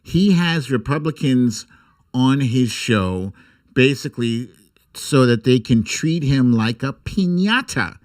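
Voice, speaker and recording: A man hosting a comic political livestream, speaking with sarcastic, irreverent bite and theatrical, drawn-out emphasis. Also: mid-range voice, lively intonation. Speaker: host of a political humour livestream. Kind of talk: comic political commentary and mock storytime reading.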